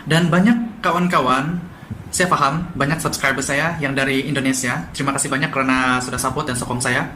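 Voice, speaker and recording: A man from Sabah with a Sabah Malay accent, explaining language differences in a quick, lively vlog style and mixing in English words. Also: medium pitch. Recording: echoey room with faint noise.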